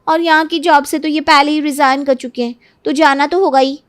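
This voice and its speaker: A woman's voice: sits high, steady tone, brisk, fairly bright tone. A woman playing a self-centred, cunning young newlywed wife in a Hindi cartoon story, pert and argumentative. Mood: indignant.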